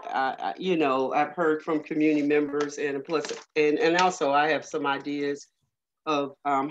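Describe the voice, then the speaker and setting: A woman speaking casually in a meeting over a video call, rambling and hesitant with many fillers. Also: deep voice. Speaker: community working group member. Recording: muffled lo-fi video call audio.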